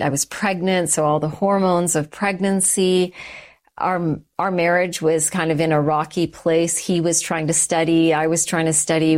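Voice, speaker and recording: A middle-aged woman guest giving an earnest, reflective personal testimony in short, measured phrases. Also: deep voice. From Canada, the United States and Sri Lanka. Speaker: interview guest.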